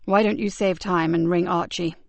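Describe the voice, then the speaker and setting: Woman playing a male police sergeant, low voice, soft and soothing, dry recording. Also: fairly even intonation, normal speed, creaky, no room sound. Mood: calm.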